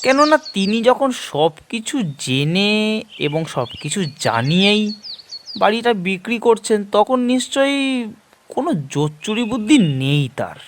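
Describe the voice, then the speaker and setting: Male horror narrator, unhurried and animated, measured dramatic phrasing, noisy recording with chirps. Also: sits high. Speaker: narrator of an audio horror story series. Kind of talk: dramatic first-person story narration in Bengali.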